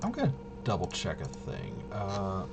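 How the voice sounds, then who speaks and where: A man's voice: sits low, huge pitch swings, moderate pace, vocal fry, very noisy. A man casually thinking aloud as he plays a tabletop roleplaying game, hesitant and muttering to himself while he checks rules. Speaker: solo tabletop RPG player demonstrating gameplay.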